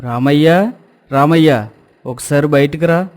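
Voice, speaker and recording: Man acting a clear-voiced, earnest villager. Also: fairly high pitch, slow pace, a little mellow. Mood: concerned. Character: honest wronged villager, earnest and respectful. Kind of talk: animated moral story dialogue.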